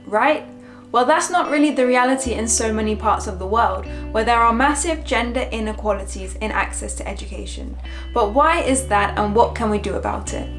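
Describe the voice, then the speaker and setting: A woman host narrating a short documentary with clear, persuasive delivery. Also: on the high side. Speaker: travel vlogger and host. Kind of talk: scripted narration. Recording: music bed under the narration.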